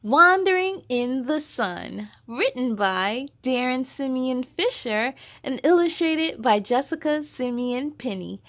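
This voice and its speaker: A woman with a high voice hosts a story time for children. She reads slowly, in an expressive, sing-song way. Between passages she chats directly to her young listeners. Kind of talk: animated children's read-aloud with chatty asides.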